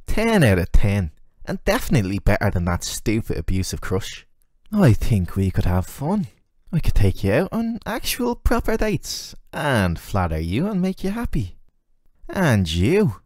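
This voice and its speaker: A man's voice: on the low side, darkish tone, no echo, clean studio sound. A man voicing a flirty, sardonic, ageless male demon who teases the listener in casual, slangy, intimate roleplay audio.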